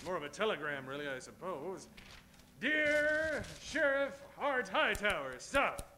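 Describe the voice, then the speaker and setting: Man playing a hammy, high-pitched cowboy gunslinger. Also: sing-song, unhurried, a little hiss or hum. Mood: pondering, declamatory. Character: boastful, gold-hungry male cowboy gunslinger hero. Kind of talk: old-time radio western comedy acting.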